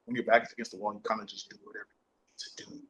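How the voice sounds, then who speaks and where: A man's voice: on the low side, steady tone, very fast, a bit bright, poor audio. A man talking casually as an actor being interviewed, with slangy, sweary, bro-heavy phrasing. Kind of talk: casual chat.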